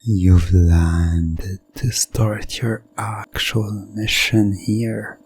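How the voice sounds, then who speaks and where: A man narrating a hypnotic ASMR science fiction roleplay in a deliberate, lulling way, repeating phrases and often dropping toward a whisper. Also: fairly low pitch, flat delivery, darkish tone, husky, soft background music. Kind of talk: slow hypnotic ASMR second-person narration of a sci-fi roleplay.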